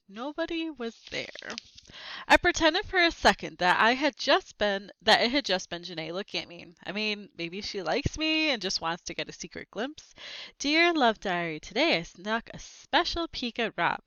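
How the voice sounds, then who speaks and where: A woman reading a children's book aloud, expressive and acting out the characters' lines with short asides. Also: mid-range voice, moderate pace, dry recording, highs cut off.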